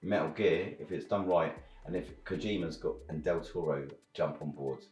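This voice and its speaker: A man's voice: extremely low pitch, moderate pace, darkish tone, reverberant, faint music behind. A British man giving his opinions on films and games in a casual, slangy chat as a reviewer. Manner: informal, slangy. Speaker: film and game reviewer.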